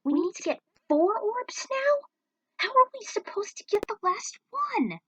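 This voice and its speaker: A woman voicing an anxious, excitable young crew member in an adventure story, exclaiming in alarm. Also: extremely high pitch, a little slow, recorded from a distance, pitched above the performer's own voice, full character voice, poor audio. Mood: bewildered.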